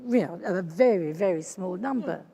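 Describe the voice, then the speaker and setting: A woman's voice: lowish voice, lively intonation, moderate pace, warm tone. A woman interviewing writers, speaking hesitantly with many "um"s. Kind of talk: panel interview. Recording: long hall reverb.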